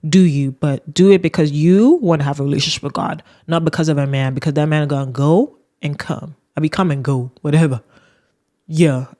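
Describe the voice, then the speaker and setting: A young adult woman with a deep voice, hosting a podcast and ranting casually with laughs. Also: fairly even intonation, fairly quick, mellow. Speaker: podcast host. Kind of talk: casual solo rant.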